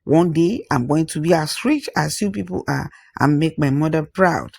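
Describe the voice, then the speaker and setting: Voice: mid-range voice, normal speed, gravelly, darkish tone, no room sound. A storyteller voicing a small, candid, proud village boy in a folktale, with frank and earnest child talk.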